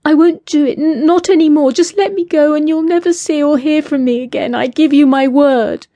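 A woman voicing a terrified young woman held captive, stammering and pleading in a raised pitch. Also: fairly even intonation, normal speed, warm tone, dry recording, muffled recording. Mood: desperate.

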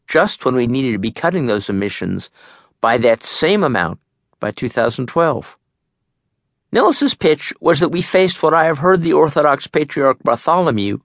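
A man reads a nonfiction memoir as an audiobook narrator, measured and articulate, in a studio. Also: mid-range voice, no room sound.

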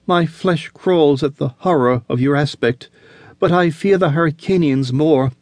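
A man's voice: a little high, expressive, a little slow, warm tone, dry recording, studio quality, voice pushed up. A man voicing a terrified young captive woman who pleads in a raised, frantic voice. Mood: fearful.

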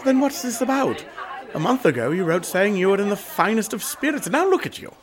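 A man acting a doomed, anguished gentleman in a radio drama, with husky voice and expressive old-fashioned upper-class phrasing. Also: high voice, a little fast, a crowd in the background. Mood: worried, urgent. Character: doomed gentleman haunted by a family suicide curse, anguished.

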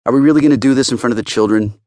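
A man's voice: medium pitch, racing, a little mellow, dry recording, clean studio sound, pitched above the performer's own voice, close to an everyday voice. A man voicing a brusque, confident senior female federal agent in an audiobook, with a wry, commanding, needling manner. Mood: needling, firm.

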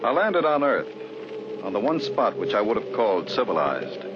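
An actor playing a polite, earnest archaeologist who also narrates, in 1950s radio acting with a dull recording and hiss. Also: male voice, lowish voice, very animated, moderate pace, over music. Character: earnest, tolerant male archaeologist. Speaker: narrator of the story.

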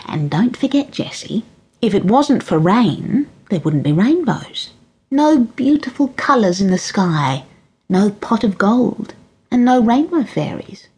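A woman voicing a gently cheerful grandmother in a storybook reading, lifting her voice higher and lilting. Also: sing-song, measured pace, breathy edge, exaggerated voice. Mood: reassuring.

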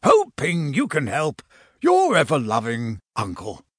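A man voicing a jolly, affectionate old uncle in a storybook, with a rumbly voice and slow, chuckling manner. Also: huge pitch swings, fairly bright tone, breathy edge, no room sound, clean studio sound, full character voice. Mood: affectionate, weary. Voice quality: deep, rumbly.

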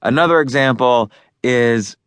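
A man's voice: mid-range voice, a little slow, clean tone, no room sound. A man narrating his own book in a loose, conversational way, with fillers and restarts as he talks over each point. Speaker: author and narrator.

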